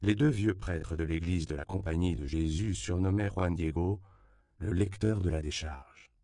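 Male audiobook narrator, very low and gravelly, dark mellow tone, dry close recording. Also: dry recording. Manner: calm, measured reading.

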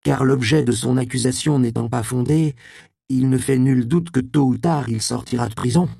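A man's voice: mid-range voice, moderate pace, creaky, warm tone, no room sound, studio quality, pitched above the performer's own voice. A male audiobook reader voicing a young apprentice who speaks with elaborate, overly courteous formality. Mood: sly politeness. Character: bold young male apprentice, polite but cunning.

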